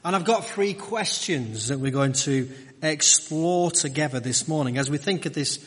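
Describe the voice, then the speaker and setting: A middle-aged man preaching a conversational sermon, halting and repeating his phrases as he goes. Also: a little high, lively intonation. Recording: echoey hall with long reverb.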